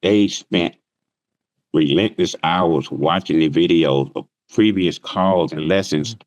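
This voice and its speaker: A man's voice: deep voice, slow pace, no echo, dull audio. A man teaching trading, explaining plainly with everyday examples on a remote call. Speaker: trading instructor. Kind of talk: casual interview answers.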